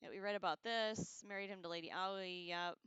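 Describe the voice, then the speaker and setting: A woman's voice: medium pitch, steady tone, a little fast, fairly bright tone, clear, resonant tone, dry recording. A woman hosting a casual, rambling livestream discussion with an irreverent, slangy manner, on a slightly dull microphone. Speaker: livestream host.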